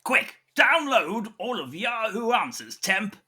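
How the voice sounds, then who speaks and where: A performer playing an excitable, pompous hospital doctor in a comedy audio drama, husky and melodramatic. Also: mid-range voice, takes it slowly, voice pushed up, heavily acted. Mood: excited, commanding. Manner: melodramatic, emphatic.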